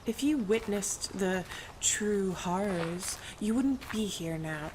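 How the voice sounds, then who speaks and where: A woman's voice: mid-range voice, animated, slow, noisy background. A woman playing a bitter, sceptical woman of mining country in a radio play, dry and scornful in manner. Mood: sardonic.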